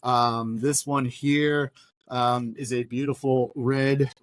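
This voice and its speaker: A man's voice: medium pitch, level tone, slow pace, no room sound. A man, co-hosting a casual podcast from his record shop, ranting and swearing with energy. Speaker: podcast co-host and record store owner. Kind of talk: casual chat.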